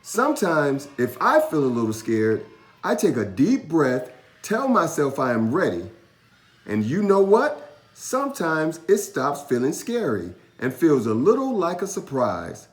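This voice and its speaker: Man playing an encouraging, lively-voiced storybook dad. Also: fairly high pitch, measured pace, pitched above the performer's own voice. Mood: reassuring. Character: caring, encouraging dad of a young boy. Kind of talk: children's storybook character voice.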